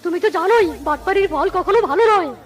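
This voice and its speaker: A righteous little cartoon animal, talks in an extremely high voice. The delivery is scolding and exclamatory. Light music sits underneath. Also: female voice, brisk. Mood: indignant. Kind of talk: Bengali cartoon voice acting. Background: background music.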